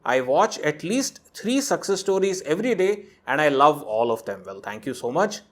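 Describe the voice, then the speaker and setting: A man with a fairly high voice reads a viewer's letter aloud, clearly and earnestly. He stops now and then to add his own comments before he goes back to the text. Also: sing-song, moderate pace. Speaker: host reading a viewer's letter. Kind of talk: narrated reading with commentary.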